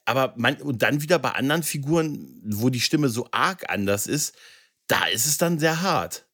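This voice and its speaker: A man's voice: mid-range voice, animated, moderate pace, a bit bright. A man chatting as a podcast co-host in a rambling way, often checking for agreement. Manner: rambling, seeks agreement with tag questions. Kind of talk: casual chat about film dubbing.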